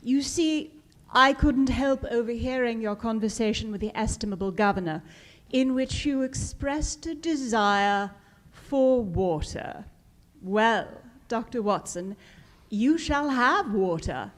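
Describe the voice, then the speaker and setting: A woman's voice: on the high side, expressive, slow pace. A woman acting a gloating criminal mastermind in a 1940s-style radio drama, menacingly polite and relishing. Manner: mock-courteous, relishing, menacing.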